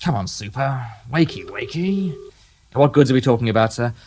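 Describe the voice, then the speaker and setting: Male actor as a sardonic detective: dry, tired, lively delivery. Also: medium pitch, normal speed, a little hiss or hum, close to an everyday voice. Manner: wry, weary sarcasm. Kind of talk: radio drama acting.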